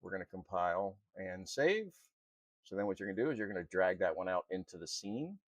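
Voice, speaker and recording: A man presenting an unscripted software tutorial in an informal, halting, step-by-step way. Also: low voice, big pitch swings, dry recording. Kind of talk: unscripted screen-recorded walkthrough.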